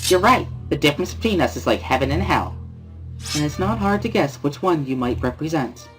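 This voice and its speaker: A man voicing a cheerful, loud teenage schoolgirl who scolds emphatically as she relays a bossy class president's words. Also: on the high side, expressive, fairly quick, faint music behind, natural voice. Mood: cheerful taunting.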